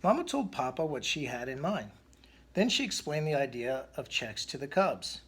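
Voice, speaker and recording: A man reading a children's picture book aloud in an expressive way, with the odd stumble. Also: mid-range voice, normal speed, a little hiss or hum. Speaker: storybook reader.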